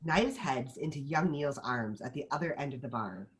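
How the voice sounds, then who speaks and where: A woman's voice: extremely low pitch, lively intonation, moderate pace. A woman reading stage directions as scripted narration at a screenplay table read.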